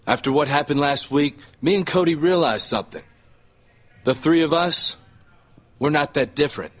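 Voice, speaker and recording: A man's voice: mid-range voice, fairly bright tone. A man playing a proud, ambitious young champion wrestler in a video game cutscene, self-assured and earnest. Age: young adult.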